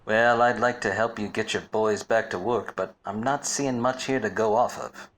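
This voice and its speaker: Man playing low, bright-edged hardboiled 1940s detective. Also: normal speed, a little hiss or hum, natural voice. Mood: cocky, touchy. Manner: deadpan, hardboiled. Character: wisecracking, obtuse hardboiled 1940s private detective, touchy and smug. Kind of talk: 1940s radio detective play, noir narration and dialogue.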